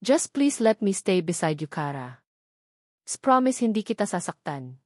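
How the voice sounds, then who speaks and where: A woman's voice: medium pitch, very animated, moderate pace, a little mellow, no echo, clean studio sound. A Filipino woman voicing a lovelorn, protective young man in a romance audiobook, earnest and pleading.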